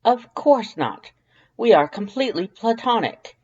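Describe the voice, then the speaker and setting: Woman acting theatrical male skeleton, animated melody, ornate formal diction, prissy, fan audio drama, slightly muffled sound. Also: on the high side, unhurried, breathy edge. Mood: animated, playful. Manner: formal, grandiose, enunciated delivery with ornate words.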